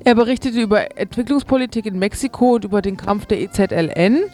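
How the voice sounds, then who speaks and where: Female radio presenter, medium pitch, scripted announcements, music playing behind. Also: fast talker, darkish tone. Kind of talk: scripted event listings and programme announcements.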